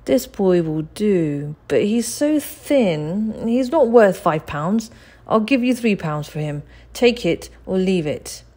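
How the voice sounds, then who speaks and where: A woman voicing a thin, gloomy, miserly male undertaker in a storybook, measured and matter-of-fact. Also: mid-range voice, lively intonation, warm tone, voice pushed up. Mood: businesslike.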